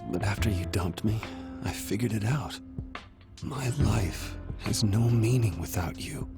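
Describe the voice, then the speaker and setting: A man's voice: fairly low pitch, measured pace, creaky. An actor playing an earnest, lovesick young man in a game cutscene, heartfelt and hesitant. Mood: earnest, tender. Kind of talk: dramatic video game cutscene acting. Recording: music behind the voice.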